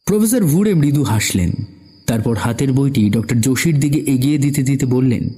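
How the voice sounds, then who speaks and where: A man's voice: mid-range voice, lively intonation, normal speed, dark tone, breathy edge. A man narrating a scripted suspense story as the narrator of an audio drama.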